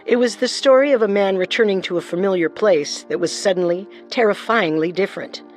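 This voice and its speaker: A woman's voice: medium pitch, brisk, darkish tone. A woman co-hosting a scripted true-crime show as narrator, with music underneath. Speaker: co-host and narrator.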